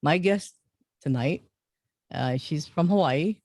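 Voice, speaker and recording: A man hosting an interview show, welcoming a guest and asking questions in a halting, loose way. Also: a little high, a little mellow, no room sound, studio quality. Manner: halting, full of fillers and restarts.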